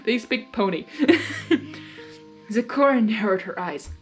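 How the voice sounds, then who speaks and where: A woman reading fan fiction aloud with expressive narration and enthusiastic, chatty asides. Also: on the high side, takes it slowly, faint music behind. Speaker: fanfiction reader.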